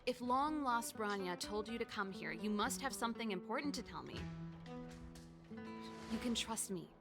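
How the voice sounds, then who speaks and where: A woman's voice: fairly high pitch, lively intonation, normal speed. A woman playing an easygoing, self-assured mechanic and former researcher in a video game, breezy and confiding, with theatrical delivery. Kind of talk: scripted game dialogue. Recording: game music behind the voice.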